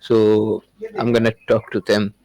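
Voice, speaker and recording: A man's voice: on the low side, completely flat delivery, unhurried, dark tone, muffled recording. A man whose first language is Urdu, chatting casually in English on a podcast, hesitant, with many fillers and restarts.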